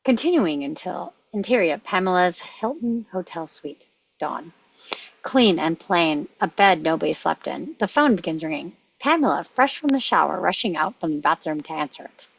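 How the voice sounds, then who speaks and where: Gravelly low-voiced woman reading a script, dull recording. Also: lively intonation, normal speed. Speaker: screenplay table-read participant reading stage directions. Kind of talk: script read-aloud.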